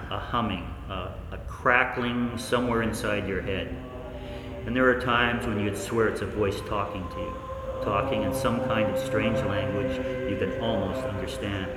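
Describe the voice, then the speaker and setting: A man playing a haunted researcher looking back, narrating a 1940s radio play in an intimate, deliberate, ominous way. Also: fairly low pitch, close to an everyday voice. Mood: haunted, dread. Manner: intimate, deliberate, knowing, ominous direct address. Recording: echoey hall, long reverb, faint noise.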